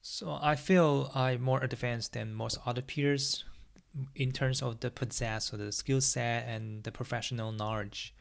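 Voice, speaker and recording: Gravelly-voiced man hosting a solo podcast. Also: medium pitch. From Taiwan. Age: 40s. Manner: earnest, plain, with frequent restarts in non-native English. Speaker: podcast host.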